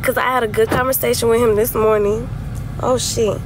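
A young woman with a fairly high, tired voice talks candidly on a casual livestream. She swears freely and uses heavy slang. Clear vehicle noise surrounds her phone recording from inside a car. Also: fairly even intonation, brisk. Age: young adult. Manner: blunt, confessional. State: tired, voice worn from yelling. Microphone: phone held close at arm's length. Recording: noisy phone audio with vehicle ambience.

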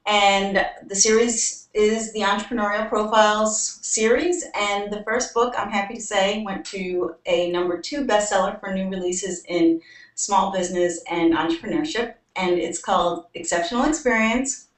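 A woman's voice: medium pitch, fairly even intonation, moderate pace. A young American woman from New York, an author talking at length as a guest in a video call interview. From New York, United States. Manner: talkative, explains at length. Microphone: webcam on a video call. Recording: roomy webcam audio from a distance.

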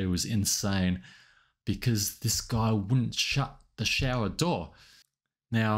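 A man in his thirties venting in a casual, exasperated monologue, speaking emphatically and often restarting his sentences, recorded in a small home studio with a desk microphone. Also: lowish voice. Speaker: vlogger. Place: small home studio room lit purple. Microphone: desk microphone in front of him.

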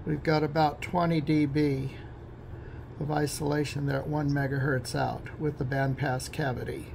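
Male technician, very gravelly fry, informal halting explainer delivery, noticeable background noise. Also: a little high, flat delivery, darkish tone. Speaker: radio technician giving a demonstration.

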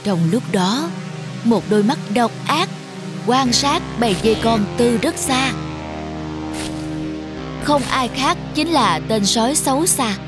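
Woman, storyteller, scripted children's narration, fairly high pitch, clear background music. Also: expressive, takes it slowly, pauses often.

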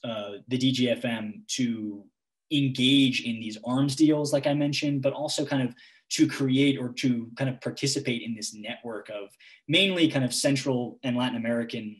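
A man's voice: medium pitch, normal speed. Young man, hedging academic talk. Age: young adult. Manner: hesitant, full of "like" and "kind of". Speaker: guest lecturer. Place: home room with guitars on the wall. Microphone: laptop webcam mic on video call.

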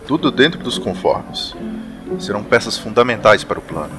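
Man doing a formal brazilian strategist, lowish voice, lively tone, guitar music behind. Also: normal speed, natural voice. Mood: dry. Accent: Brazilian Portuguese. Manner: formal, dryly ironic.

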